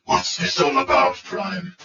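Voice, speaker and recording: A man's voice: slow, pushed and bright. A man voicing an evil, bitter robot warlord in an audio drama, with a commanding, brooding, theatrical delivery. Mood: stern. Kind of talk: fan audio drama acting. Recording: echoey, muffled, distant from the mic.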